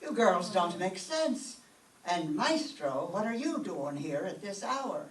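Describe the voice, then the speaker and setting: A woman's voice: on the low side, big pitch swings, takes it slowly. A woman playing a fussy, easily flustered older Irish landlady with an Irish accent in an old-time radio comedy. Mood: indignant. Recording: roomy, echoing, distant stage microphone.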